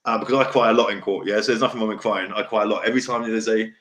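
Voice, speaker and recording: A middle-aged man with a deep voice hosts a casual livestream talk, blunt, emotional and rambling. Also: fairly even intonation, very fast, a bit bright. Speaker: host. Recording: echoey room.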